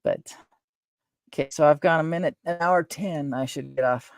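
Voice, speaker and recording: Soft-voiced middle-aged woman, rambling. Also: sits low, moderate pace, vocal fry, no echo, clean studio sound. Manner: meandering, trails off, frequent fillers and self-corrections. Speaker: livestream host. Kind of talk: rambling casual chat.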